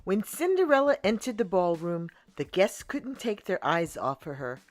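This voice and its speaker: A woman reading a storybook aloud for children in an expressive way, with dramatic pauses and emphasis. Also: fairly low pitch, dry recording, faint music behind. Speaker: children's storybook reader and channel host.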